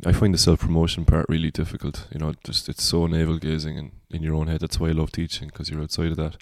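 This is a Cork Irish man with a deep baritone voice that sits very low. His delivery stays level, with little rise and fall. He sounds reflective and wanders through his thoughts in a casual podcast chat, recorded close with a dry sound. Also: monotone, fast talker, no echo. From Cork, Ireland. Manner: reflective, rambling. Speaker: singer-songwriter guest.

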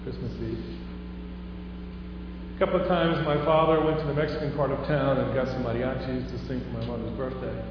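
A man's voice: mid-range voice, fairly even intonation, brisk. A man from Houston giving a conversational chapel talk to a live audience, telling stories and rising to emphatic questions. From Houston, Texas. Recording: echoey hall from far off, dull with hum.

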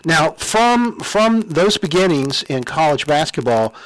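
A man's voice: fairly high pitch, big pitch swings, a little slow, low-quality recording. A man conducting a casual interview, attentive and easygoing, with a conversational way of putting questions.

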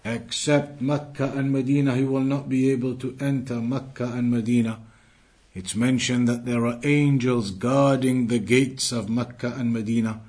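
A man's voice: medium pitch, steady tone, moderate pace, darkish tone. A man teaching a religious lesson to a live class, earnest and repeating key phrases, reciting Arabic fluently and quizzing his students. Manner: quizzes the class and waits for answers. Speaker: Islamic teacher.

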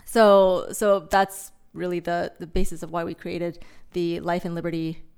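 A woman's voice: fairly low pitch, flat delivery, measured pace, creaky, a little mellow, clear, resonant tone. A woman who grew up in China and the US, answering interview questions with frequent ums and restarts. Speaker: guest, index founder.

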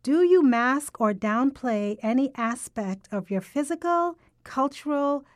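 Brief: lively woman from Jamaica as podcast co-host, dry close sound. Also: sits high, measured pace, no echo. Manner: upbeat, lively, personable. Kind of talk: casual podcast conversation.

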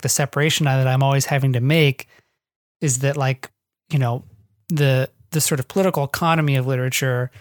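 An American author speaks in a reflective interview style, at a middle pitch. The delivery is halting and discursive, as thoughts get worked out aloud. The sound is dry and close, with very little room in it. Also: no room sound. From northwest Ohio, United States. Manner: halting, discursive, thinking aloud with frequent hedging. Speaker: guest author of a memoir. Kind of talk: reflective podcast interview talk.

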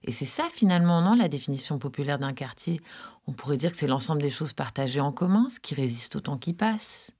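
A woman's voice: low voice, animated, a little fast, darkish tone, husky. A woman narrating a podcast in a reflective, literary manner with conversational asides.

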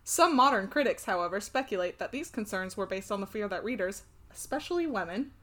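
A woman's voice: mid-range voice, animated, a little fast, fairly bright tone. A young American woman co-hosting a casual chat podcast, laughing often and swearing freely. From United States. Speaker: podcast co-host.

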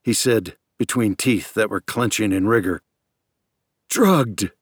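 A man with a fairly low voice narrates fiction in a scripted, dramatic audiobook style. The recording is close and very dry, with no room sound. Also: moderate pace, no echo.

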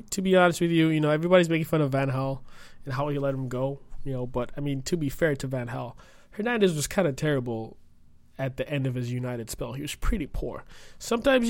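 Croaky young man with a cold. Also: fairly high pitch, dry recording. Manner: chatty, rambling, lots of fillers. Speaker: podcast host and streamer. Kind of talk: casual solo podcast.

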